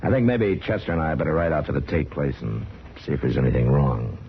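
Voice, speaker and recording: Steady, commanding frontier lawman, very deep and terse, muffled vintage radio western sound. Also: fast. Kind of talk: old-time radio western acting. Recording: noisy, muffled old radio recording.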